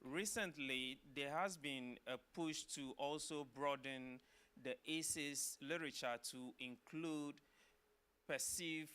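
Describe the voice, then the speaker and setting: A middle-aged man from Ghana, a professor answering interview questions in a measured, explanatory way with some filler uhs. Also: on the high side, pushed and bright, smooth. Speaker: guest, social work professor.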